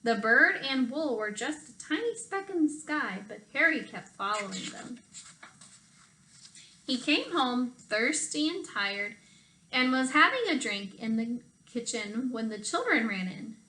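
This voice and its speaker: A woman reads a children's storybook aloud. Her voice is fairly high and very bright, and she shapes each phrase expressively for young listeners. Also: sing-song, unhurried, slight background noise, rough recording. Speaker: storytime reader for children, teacher. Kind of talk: animated picture-book read-aloud.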